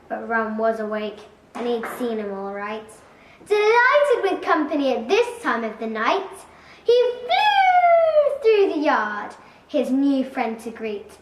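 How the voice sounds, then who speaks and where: A woman's voice: a little high, a bit bright. A school-age girl of about nine or ten giving a dramatic recitation of a comic poem. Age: child, about 9 or 10. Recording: echoey room, distant mic, faint noise.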